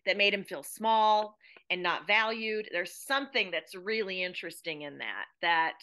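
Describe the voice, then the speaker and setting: A woman's voice: medium pitch, normal speed, pushed and bright, smooth. An American-accented woman chatting as a podcast guest, speaking emphatically and repeating phrases for effect. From United States. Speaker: podcast guest, playwright and theatre educator. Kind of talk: interview chat.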